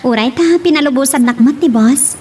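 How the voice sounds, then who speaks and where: A woman's voice: high-pitched, fairly quick, a little mellow, close to an everyday voice. A woman playing an earnest young female student who works in a bar, in an Ilocano drama. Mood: respectful. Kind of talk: Ilocano radio-style drama acting. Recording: clear background noise.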